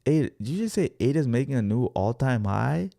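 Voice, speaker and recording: A man's voice: mid-range voice, big pitch swings, a little fast, vocal fry, warm tone, no echo, clean studio sound. A man born in Congo, hosting an explanatory market chart commentary, repeating his points emphatically and often checking in with listeners. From born in Congo, Africa. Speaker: host, crypto trading educator.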